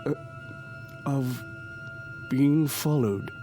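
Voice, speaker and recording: An actor in a radio drama playing a nervous, well-mannered British army major with a British accent. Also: male voice, mid-range voice, drawn-out words, music in the background. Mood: anxious.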